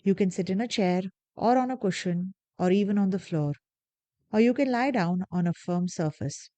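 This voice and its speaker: A woman guiding a body scan meditation, giving slow, soft, calm instructions with long pauses. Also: medium pitch, no echo. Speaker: meditation guide. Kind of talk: guided meditation.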